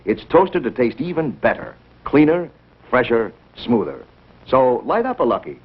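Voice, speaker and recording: A man delivers a 1950s scripted commercial as an announcer. His voice is husky and swings in pitch as he stresses the sales points. The recording is dull and dated. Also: big pitch swings, unhurried. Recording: dull 1950s broadcast sound.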